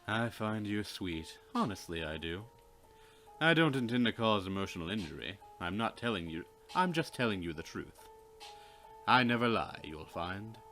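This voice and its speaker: Low, lively-pitched man for a casual let's play, reads dialogue slowly over faint music. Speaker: co-player reading a character's lines.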